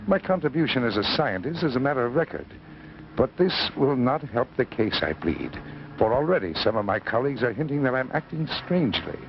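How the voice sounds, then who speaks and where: Noisy muffled 1950s broadcast, grave professor, formal, insistent, animated pitch, dramatic testimony. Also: male voice, fairly quick, vocal fry. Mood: proud, defensive. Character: earnest, proud, troubled physics professor narrating his testimony. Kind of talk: 1950s dramatic first-person narration.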